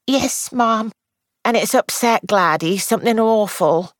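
A woman's voice: on the high side, unhurried, no echo, clean studio sound, pitched above the performer's own voice, close to an everyday voice. A woman voicing a young, anxious housemaid who rambles on in breathless, deferential gossip. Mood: fretful.

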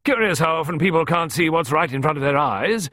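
A man voicing a big, jolly, brusque woman of about forty in an audiobook, with hearty, ringing, booming tones. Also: expressive, fairly quick, bright, forceful tone. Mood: brusque, peremptory. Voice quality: hearty, ringing, almost masculine voice. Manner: booming, barking, peremptory. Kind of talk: audiobook character voice.

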